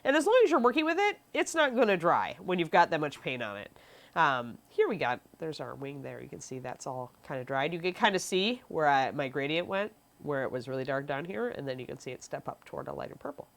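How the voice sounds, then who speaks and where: A woman's voice: deep voice, big pitch swings, fairly quick, creaky. A sniffly young woman, stuffy from allergies, giving a chatty live painting tutorial and answering chat questions. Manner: chatty, reads chat questions aloud, frequent ums. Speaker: miniature painting instructor.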